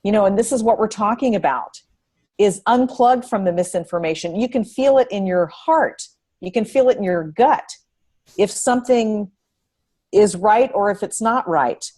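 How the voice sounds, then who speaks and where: A woman speaking on a panel, explaining deliberately and using repetition for emphasis in unscripted talk. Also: medium pitch, expressive, darkish tone, slightly rough.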